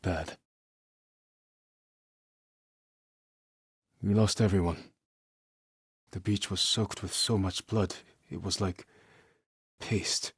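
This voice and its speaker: An actor playing a stoic, honorable samurai warrior in a video game, weary and grave, speaking in a measured, restrained and protective manner. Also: male voice, deep voice, steady tone, husky, dry recording, studio quality, full character voice. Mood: quiet, somber. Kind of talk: dramatic video game voice acting.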